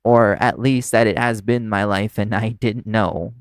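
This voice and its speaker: A man acting in an audio roleplay as a nervous, caring young vampire's human partner, speaking in a halting, stammering way. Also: on the low side, fairly even intonation, dry recording. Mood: overwhelmed, earnest.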